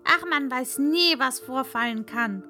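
A woman's voice: high voice, measured pace, pushed and bright, faint music behind, heavily acted. A woman voicing a wild, bossy robber girl in a read-aloud fairy tale, brash and teasing.

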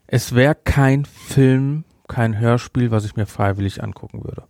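Man with a gravelly, mellow voice. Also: medium pitch, measured pace, no echo, studio quality. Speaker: podcast host. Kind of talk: casual review chat.

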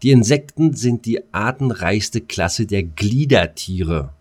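A man's voice: lowish voice, lively intonation, slow pace, darkish tone. A man co-hosting a casual German podcast chat, speaking in a blunt, opinionated, colloquial manner. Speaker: podcast co-host.

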